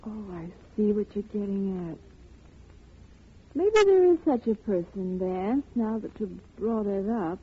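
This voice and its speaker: A woman's voice: mid-range voice, expressive, a little slow, breathy edge. An actress playing a young, naive, anxious chorus girl in a 1940s radio drama, earnest and pleading. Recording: muffled, narrow-band old radio broadcast.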